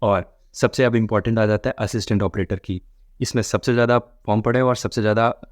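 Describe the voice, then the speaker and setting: A man with a fairly low voice talks in a dry-sounding space with little echo. He explains things informally, like an online presenter giving an update, and addresses his viewers directly. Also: fairly even intonation, a little fast. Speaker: exam-update video presenter. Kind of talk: informal explanatory commentary on exam results.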